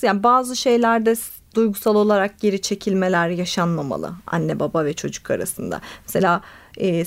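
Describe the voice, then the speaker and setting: A woman play therapist speaks as a guest on a radio talk show. Her voice sits in the middle range. She explains her views carefully, with frequent fillers and pauses as she thinks. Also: medium pitch. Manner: thoughtful and explanatory, with many fillers. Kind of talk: radio interview.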